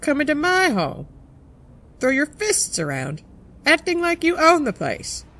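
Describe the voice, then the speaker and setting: A woman's voice: high voice, huge pitch swings, unhurried, fairly bright tone, exaggerated voice. A woman acting a tough, scornful woman in her seventies, a domineering crime matriarch with sardonic, theatrical delivery in a motion comic. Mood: scornful, angry.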